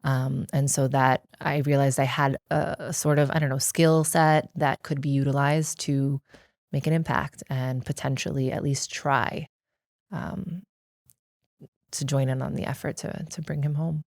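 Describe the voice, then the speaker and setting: A woman's voice: a bass voice, flat delivery, measured pace, darkish tone, dry recording, studio quality. A middle-aged American woman speaking as an interview guest, reflecting on personal experience in an earnest, articulate, explanatory way. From United States.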